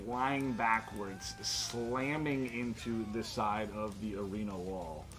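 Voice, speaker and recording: A man's voice: lowish voice, steady tone, slow. A man acting as game master, narrating and refereeing a tabletop role-playing game. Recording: music playing behind the voice. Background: music.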